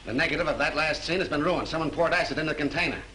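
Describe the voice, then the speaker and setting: A brusque, hard-boiled police lieutenant from a 1930s film. His voice is bright and forceful, slightly high, grilling people in clipped questions over a lightly hissing old soundtrack. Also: very fast. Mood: curt, probing. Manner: brusque, interrogating. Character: brisk, hard-boiled police lieutenant leading a murder inquiry.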